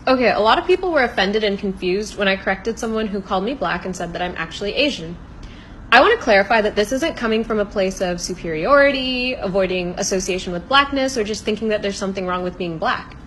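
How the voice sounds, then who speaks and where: A woman's voice: medium pitch, expressive, fast, a bit bright, low-quality recording. Young woman from south india, firm, explaining herself to the phone cam, faint hiss behind. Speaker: content creator. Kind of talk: direct-to-camera response video. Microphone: phone camera, close.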